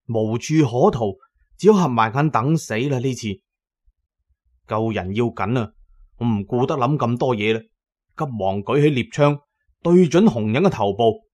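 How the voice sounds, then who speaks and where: A male audiobook narrator with a Cantonese accent and a mid-pitched voice. He tells an adventure dramatically, in a storyteller's delivery with colloquial turns. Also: moderate pace, a little mellow.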